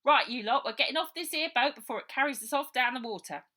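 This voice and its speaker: Woman putting on a street-wise kid girl, storybook, bossy, colloquial, higher pitch, forceful. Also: fast. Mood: commanding. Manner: commanding, colloquial. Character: bossy, plucky street-wise London girl gang leader.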